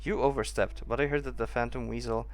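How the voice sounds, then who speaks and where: A man's voice: mid-range voice, steady tone, fairly quick. A man giving casual gameplay commentary, reacting aloud and puzzling over the story as he plays. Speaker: streamer playing a story game.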